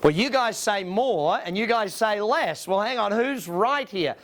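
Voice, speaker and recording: Male business coach, Australian, voice on the higher side, emphatic seminar presenter who works the audience with questions. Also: animated, a little slow, a bit bright. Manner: emphatic, rhetorical questions to listeners.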